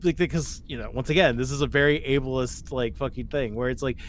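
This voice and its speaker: A man's voice: mid-range voice, fairly quick, slight background noise. A man co-hosting a podcast, talking casually with some swearing, rambling and repeating phrases as he goes. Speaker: podcast co-host. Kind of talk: casual, profane discussion.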